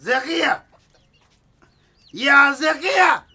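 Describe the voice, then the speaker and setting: High, excitable, domineering village husband. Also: male voice, very slow, a little hiss or hum, heavily acted. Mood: furious, threatening. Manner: blustering, stammering when worked up. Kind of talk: radio drama acting.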